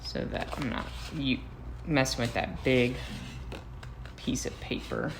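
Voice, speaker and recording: A man's voice: mid-range voice, very animated, slow pace, vocal fry, noisy background. An American man, a first-grade teacher, gives a casual, playful craft demonstration for young children, talking to them as he works.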